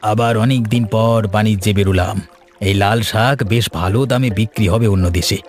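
Cartoon casting: male actor as travelling sea merchant, dramatic self-talker, excitable. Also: fairly low pitch, moderate pace, soft background music, lightly acted. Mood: hopeful. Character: seafaring male merchant, hopeful and easily alarmed. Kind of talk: cartoon monologue, thinking aloud.

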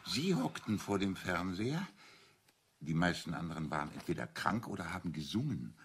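An actor playing a shrewd priest and amateur detective in a German radio crime drama, explaining deliberately and with self-assurance. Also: male voice, low-pitched, very animated, slightly rough, full character voice. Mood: matter-of-fact.

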